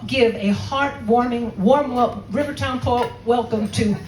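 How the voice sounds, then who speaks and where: A woman's voice: on the high side, a little slow, a little mellow, recorded from a distance, slight background noise. A woman hosting a poetry reading, giving an earnest, proud introduction of the featured poet. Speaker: event host. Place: poetry reading venue, indoors. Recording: echoey room recorded from afar.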